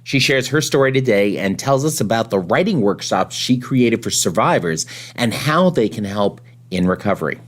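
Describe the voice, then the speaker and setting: A man's voice: lowish voice, expressive, moderate pace, few pauses. A middle-aged man, hosting a podcast with scripted introductions and conversational interviewing. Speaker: podcast host.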